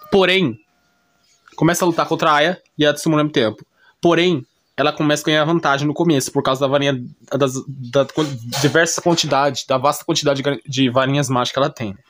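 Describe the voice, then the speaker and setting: Male, Brazilian accent, slightly high voice. Informal YouTube recap narrator, improvised, repetitive, peppered with short check-in tags. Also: a bit bright. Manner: repetitive, often checks listener understanding with short tag questions. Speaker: YouTuber recapping anime and manga. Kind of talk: casual unscripted plot explanation.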